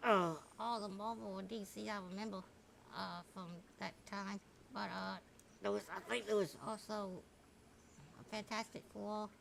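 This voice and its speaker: A woman's voice: medium pitch, creaky, fairly bright tone. A woman hosting a reaction video, giving casual, hesitant, rambling commentary to viewers. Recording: faint background noise.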